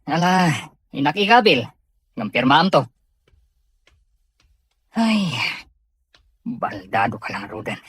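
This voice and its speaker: An actor with an Ilocano accent playing a pushy, scheming relative in a Filipino radio drama, slightly husky. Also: medium pitch, very animated, slow, long pauses, a bit bright, no echo, studio quality, exaggerated voice. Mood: pushy, impatient.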